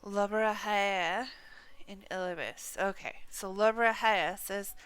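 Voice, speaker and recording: A woman streaming a game, commenting casually and reading dialogue aloud in a halting, muttering way. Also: medium pitch, fairly even intonation, a bit bright, smooth, rough recording.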